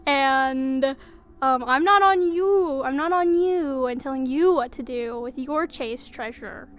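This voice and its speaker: Woman w/ high voice chatting about a play role, informal and a bit wry. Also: a little slow, a bit bright. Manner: informal, wry paraphrasing of the character. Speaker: actor discussing a play. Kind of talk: casual character commentary.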